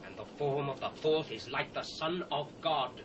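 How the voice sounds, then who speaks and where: A man's voice: on the high side, moderate pace, lo-fi sound, close to an everyday voice. A British actor playing a proud, commanding king of the ancient world in a dramatised scripture reading, declamatory and imperious. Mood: astonished. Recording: faint hiss, old cassette transfer.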